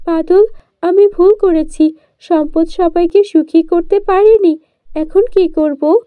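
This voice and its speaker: A woman's voice: extremely high pitch, fairly even intonation, takes it slowly, dry recording, phone-quality sound, pitched above the performer's own voice. A woman voicing an earnest, kind-hearted young village girl in a children's cartoon, with a pleading manner. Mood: regretful. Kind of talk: children's cartoon fairy tale.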